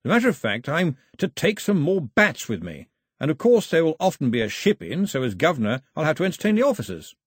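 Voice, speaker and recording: A man's voice: a little high, huge pitch swings, fast, husky, no echo, studio quality, voice pushed up, full character voice. A man voicing a grieving upper-class father who speaks in a clipped, understated and firm way. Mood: breezy.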